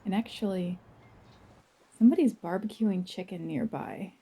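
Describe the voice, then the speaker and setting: A woman narrating a first-person guided visualization in a soft, breathy voice, gentle and dreamy, with long unhurried pauses. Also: on the low side.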